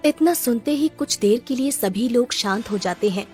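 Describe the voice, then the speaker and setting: A woman's voice: on the high side, expressive, normal speed, darkish tone, husky, faint music behind. A woman storyteller giving scripted narration for a children's story.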